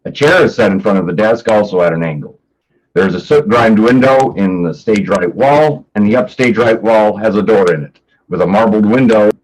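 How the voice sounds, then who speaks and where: A man's voice: on the low side, normal speed, a little mellow, a little hiss or hum, poor audio. A man presenting a staged play reading as announcer and stage directions reader, plain and matter-of-fact. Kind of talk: scripted narration of stage directions.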